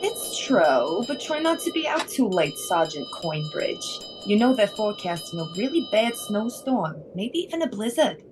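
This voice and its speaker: An actress playing a caring, homey wife who gently frets over her husband in an old-time radio comedy. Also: medium pitch, very animated, normal speed, hardly any pauses, background noise, natural voice. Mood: caring, concerned. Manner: solicitous, homey.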